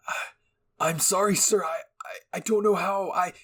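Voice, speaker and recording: A man's voice: sits high, steady tone, measured pace, a bit bright, husky, voice pushed up, heavily acted. A man voicing a frightened, apologetic young male soldier in an anime fan story, earnest and prone to stammering. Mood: panicked. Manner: stammering when flustered.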